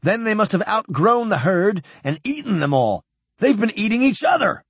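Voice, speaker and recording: A man playing an excitable, horrified naval chief engineer in an audiobook, exclaiming in alarm. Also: medium pitch, unhurried, gravelly, breathy edge, no room sound, heavily acted. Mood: horrified shouting. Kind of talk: audiobook character dialogue.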